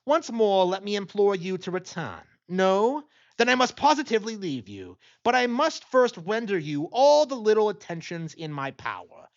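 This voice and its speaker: A man voicing a vengeful gentleman murderer in an audiobook, measured and mockingly polite. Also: sits high, big pitch swings, dull audio, pitched above the performer's own voice. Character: cold, vengeful gentleman murderer feigning courtesy. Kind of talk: dramatic audiobook character voice.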